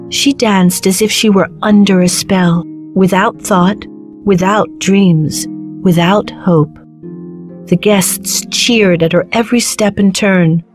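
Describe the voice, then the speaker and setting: A woman narrating a children's fairy tale in a measured, clearly phrased storytelling manner. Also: medium pitch, a little mellow. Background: background music.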